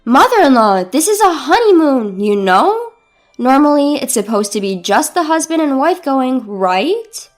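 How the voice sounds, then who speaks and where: A woman's voice: high-pitched, big pitch swings, unhurried, faint music behind. A woman voicing an indignant young bride who protests incredulously in a dramatized story. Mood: desperate insistence. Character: indignant young bride standing her ground.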